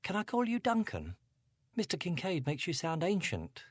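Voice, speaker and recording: A man reading aloud, voicing a grieving, anxious teenage girl in a storybook with a soft, breathy voice. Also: sits high, huge pitch swings, moderate pace, dry recording, clean studio sound, voice pushed up, exaggerated voice. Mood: brightening.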